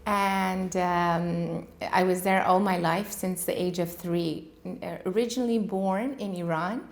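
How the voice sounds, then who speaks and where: Middle-aged American-raised woman, dentist guest; calm, measured, reflective delivery. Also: lowish voice, gravelly. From United States. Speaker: dentist and clinic owner, guest. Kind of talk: interview answers. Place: dental clinic.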